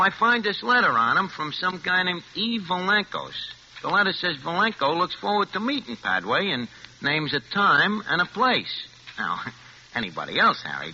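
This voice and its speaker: Brash, animated New swindler, piercing voice. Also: male voice, high voice, slight background noise, exaggerated voice. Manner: slangy, hesitant, stammering bluster. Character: brash, streetwise American swindler narrating his own scheme. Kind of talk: 1940s radio drama acting. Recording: dull vintage radio recording with music.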